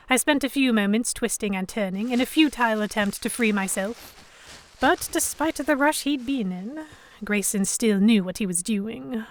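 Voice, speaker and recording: A woman playing an anxious, indignant young captive who narrates her ordeal in expressive, first-person storytelling for an audio drama. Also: on the high side, natural voice. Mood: tense.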